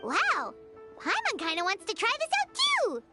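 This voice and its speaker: A woman voicing a small, chirpy, eager childlike fairy guide in a video game, with a squeaky, excitable delivery. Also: moderate pace, exaggerated voice. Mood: excited. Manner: speaks of herself in third person, excitable. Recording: music playing behind the voice.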